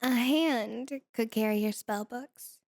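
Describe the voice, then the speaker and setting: Playful succubus demon, played by a woman: coy temptress, slightly high voice, intimate dry sound. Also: slow, darkish tone, dry recording. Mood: coy, curious. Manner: coy, teasing delivery. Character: sultry, mischievous female succubus demon, playful and taunting. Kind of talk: flirty ASMR roleplay acting.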